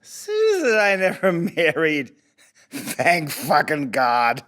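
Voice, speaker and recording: A man's voice: on the high side, huge pitch swings, takes it slowly, a bit bright, heavily acted. An actor playing a defensive, abusive deadbeat father under interrogation in an audio drama, evasive, rambling and profane. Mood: sneering, dismissive. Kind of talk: audio drama table read.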